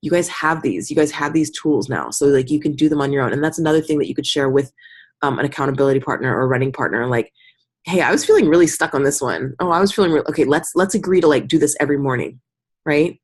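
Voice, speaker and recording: Low-voiced, slightly husky woman coaching a group. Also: quick pace. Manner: conversational, encouraging, often acting out example remarks in the listener's voice. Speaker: business team mentor and group coach. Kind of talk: informal coaching talk on a group review call.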